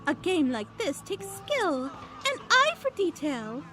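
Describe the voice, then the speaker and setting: An elegant, flirty mare of high fashion in an audio drama. Her voice is extremely high and swoops with lively intonation, poised and theatrical, over faint music. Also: takes it slowly, heavily acted. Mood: confident. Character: elegant, refined, flirtatious female pony fashionista. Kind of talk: fan audio drama character acting.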